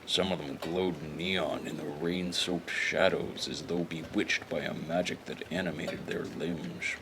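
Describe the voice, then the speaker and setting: A man acting a hardboiled noir private eye with a very low voice. He is brooding and deadpan, always ready with a dry wisecrack, as in a pulp audio drama. Clear background noise and ambience surround him. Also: unhurried.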